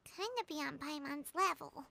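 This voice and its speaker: A woman voicing a tiny, childlike fairy companion in a video game, with a squeaky, piercing voice. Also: lively intonation, a little slow, gravelly, sharp tone, full character voice. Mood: confused. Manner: childlike, refers to self by name. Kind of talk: cartoonish video game voice acting.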